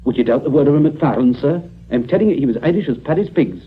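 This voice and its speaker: Wily, quick-talking Scottish junk dealer. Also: male voice, medium pitch, expressive, breathy edge. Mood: mock-sincere. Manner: hedging, wily, mock-innocent. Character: cagey, grasping Scottish junk dealer feigning forgetfulness. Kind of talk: 1950s radio drama acting. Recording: muffled old radio recording.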